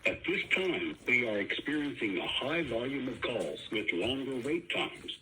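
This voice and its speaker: A man reading a scripted, formal automated phone menu announcement with measured enunciation. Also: mid-range voice, big pitch swings, sharp tone, low-quality recording. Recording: phone menu recording with faint noise.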